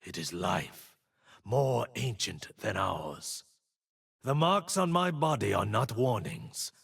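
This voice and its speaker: An actor playing a stern, disciplined martial monk in a video game, gritty, measured and wise in his solemn delivery. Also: medium pitch, lively intonation, vocal fry, fairly bright tone, husky, full character voice. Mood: solemn resolve. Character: stern, disciplined blind martial monk bearing a dragon spirit. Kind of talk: video game character voice lines.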